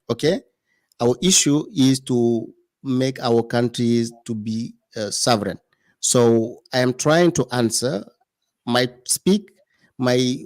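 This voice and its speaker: A man's voice: mid-range voice, a little mellow, dry recording. A man as a guest commentator in an interview, speaking in earnest, repeating phrases for emphasis.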